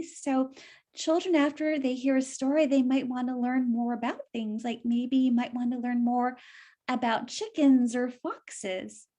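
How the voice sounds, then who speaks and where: High-pitched woman reading to children. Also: dark tone. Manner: explanatory, addresses children simply. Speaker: children's author reading aloud and presenting. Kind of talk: children's story read-aloud and explanation.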